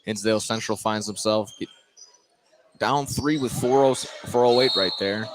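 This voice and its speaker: A man doing live play-by-play of a high school basketball game, often repeating and correcting himself as he goes. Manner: halting, repeats and corrects himself mid-call. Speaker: play-by-play commentator. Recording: noisy live courtside radio broadcast.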